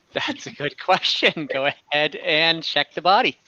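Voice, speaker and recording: A man with a bright, slightly high voice runs a tabletop game as game master. He is easygoing and improvises his narration. The sound is dry, on slightly dull voice chat audio. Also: expressive, slow pace, no room sound. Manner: easygoing, loose with rules. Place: online voice call, dry close sound.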